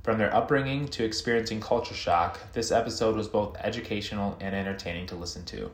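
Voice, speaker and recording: Male podcast co-host, enthusiastic, lowish voice, roomy echo. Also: fast. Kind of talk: scripted recommendation.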